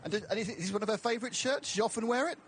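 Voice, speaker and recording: A man's voice: high voice, very fast. A man working as a roving trackside reporter, doing chatty, jokey live interviews. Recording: faint ambient noise behind.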